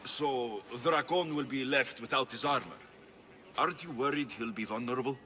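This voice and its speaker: A man's voice: medium pitch, moderate pace, sharp tone, close to an everyday voice. A man acting a capable, sardonic Greek mercenary in a video game cutscene, with a dry delivery. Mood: wry, skeptical. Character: wry, capable male Greek mercenary for hire.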